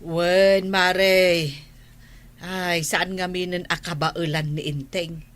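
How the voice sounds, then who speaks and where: A woman's voice: fairly low pitch, level tone, takes it slowly, fairly bright tone. A woman playing a village neighbor in a comedy radio drama, speaking with an Ilocano accent. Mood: chatty.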